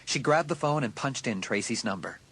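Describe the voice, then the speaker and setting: A man with a husky voice giving suspenseful, scripted narration of a dramatised audiobook. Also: mid-range voice, animated, fairly quick, a bit bright. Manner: suspenseful storytelling.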